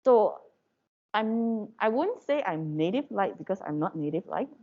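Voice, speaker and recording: A woman's voice: medium pitch, sing-song, unhurried, a little mellow. A woman from Malaysia with a Malaysian English accent, giving an informal lecture to her students in a chatty way, with many fillers and tag questions. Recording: dull lo-fi recording.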